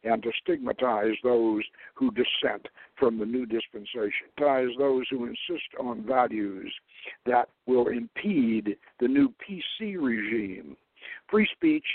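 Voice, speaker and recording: A man hosting a radio talk show, lecturing in a deliberate, halting way. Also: medium pitch, dry recording. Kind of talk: talk radio lecture, partly read aloud. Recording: tinny, phone-like radio line.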